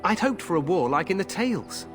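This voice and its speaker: A young, glory-hungry king from a fantasy video game. His voice is a little higher than most men's, and he talks in a grand, confident, friendly royal manner. Music sits quietly behind the lines. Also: a little fast. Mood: cocky, eager. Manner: grand, regal, expressive.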